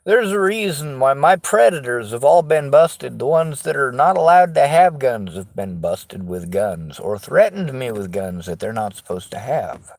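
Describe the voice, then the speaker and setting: A tired man with a Southern US accent, talking slowly in a rambling monologue to camera. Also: mid-range voice, very animated, gravelly. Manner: slow, tired, drawling delivery. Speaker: vlogger.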